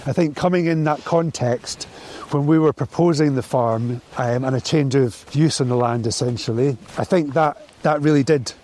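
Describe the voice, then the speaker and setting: A Scottish man with a mid-range voice talks about his work on a farm in an easy, reflective way, sometimes pausing to find his words. He is recorded outdoors, and faint traffic and ambient noise sit underneath his voice. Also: mid-range voice, warm tone. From Scotland. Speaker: farm grower. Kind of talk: casual interview walking outdoors.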